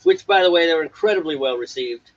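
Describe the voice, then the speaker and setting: Husky higher-voiced man, playful storyteller. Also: fast talker. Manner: animated storyteller, playful, mimics sounds and others. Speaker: co-host of a homebrewing stream. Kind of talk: casual chat. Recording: lo-fi, dull livestream audio.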